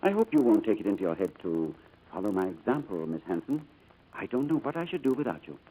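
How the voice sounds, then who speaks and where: A hesitant, gentlemanly businessman in an old radio drama, distracted and haunted. His low voice is husky and swings widely in pitch. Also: very animated, quick pace. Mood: courteous, hesitant. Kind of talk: 1940s radio acting. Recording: muffled old radio recording, music behind.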